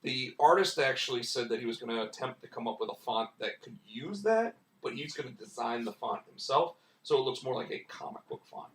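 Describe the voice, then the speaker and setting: Man, hoarse, rambling casual host, mid pitch, roomy and off-mic. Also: expressive, a little fast, few pauses, distant mic, slight background noise. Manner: rambling, many restarts and "like" fillers. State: losing his voice, hoarse. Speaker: comic book writer and stream host. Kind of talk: casual live-stream chat.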